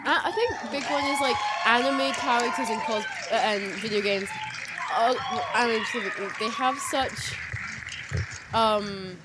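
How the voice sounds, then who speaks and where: A woman's voice: on the high side, moderate pace, over a noisy crowd. A woman chatting casually as a guest, thinking aloud in a conversational way. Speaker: podcast guest. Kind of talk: casual chat. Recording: loud background noise.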